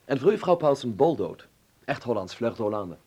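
An actor playing an earnest, eager young Dutchman in a radio drama, expressive and a little hesitant. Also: mid-range voice, normal speed, breathy edge. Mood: delighted.

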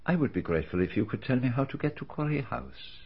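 A courteous detective questions a witness with formal, careful politeness. His voice is mid-pitched and slightly husky. The sound is muffled and dull, like an old archive radio drama. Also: expressive, quick pace. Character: polite, probing man detective of foreign manner. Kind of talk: BBC radio drama acting.

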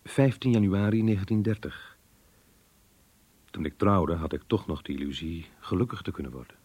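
A man's voice: low voice, moderate pace, darkish tone, husky, no echo. An actor playing a disillusioned married schoolteacher confiding in his diary in a radio drama, introspective and weary. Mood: brooding, regretful.

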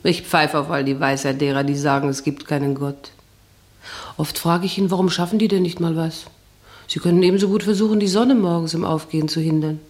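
An actress playing a sensual, dreamy, plain-spoken wife who muses to herself in a German radio drama monologue. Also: female voice, sits low, fairly even intonation, fairly quick, a little mellow, close to an everyday voice. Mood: musing. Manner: musing, intimate, talking to herself.

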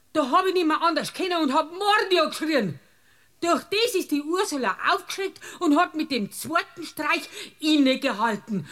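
A woman's voice: sits high, a bit bright. A woman with a Bavarian accent playing a brazen, talkative village witness in a comic radio drama, folksy and blunt. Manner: folksy, blunt, aggrieved storytelling.

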